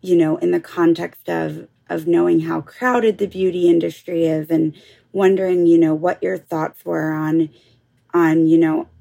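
A woman interviewer with a gravelly, low, mellow voice asks long, winding questions, padding them with fillers as she goes. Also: little pitch movement, a little slow.

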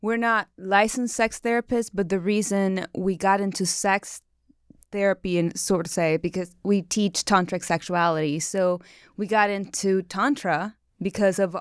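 A woman's voice: medium pitch, steady tone, measured pace, smooth, no room sound, clean studio sound. A frank, chatty woman with a Cuban accent, a tantra teacher telling her story as a podcast guest. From Cuba. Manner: frank, chatty, self-deprecating. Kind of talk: candid interview storytelling.

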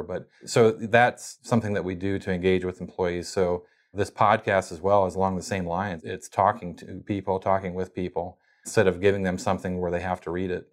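Low-voiced man explaining his company podcast. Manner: relaxed, explanatory, with frequent fillers and restarts. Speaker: company marketing podcast producer, guest. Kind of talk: casual interview answers.

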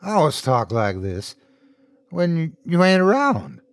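Man playing a possessed young man, horror story, Louisiana drawl, taunting, mockingly sweet, slow, animated pitch. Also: no echo, full character voice. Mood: mocking sweetness.

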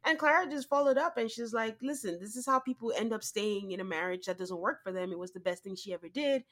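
A woman co-hosting a podcast, nasal and congested from a cold, chatting casually with quick asides and laughs. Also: medium pitch, fairly bright tone. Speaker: podcast co-host. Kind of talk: casual chat.

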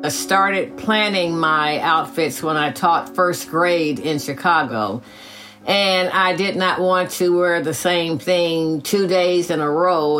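Eighty-something woman, low measured voice. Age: 80s. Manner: gracious, measured, laughs softly. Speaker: retired teacher. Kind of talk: reflective personal storytelling.

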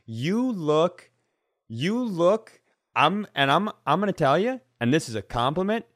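A man's voice: a little high, very animated, measured pace, a bit bright, no echo, clean studio sound. A man bantering casually as a podcast co-host, joking and teasing in a crude way.

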